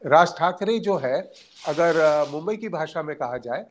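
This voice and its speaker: A man giving unscripted political analysis as a journalist, speaking haltingly with hesitant pauses mid-sentence. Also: fairly high pitch, animated, slight background noise.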